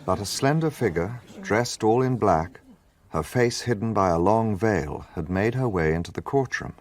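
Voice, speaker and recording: An actor playing an earnest, romantic gentleman who narrates and acts as a detective's companion in a radio drama. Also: lowish voice, moderate pace, natural voice. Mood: reflective narration. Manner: earnest, expressive.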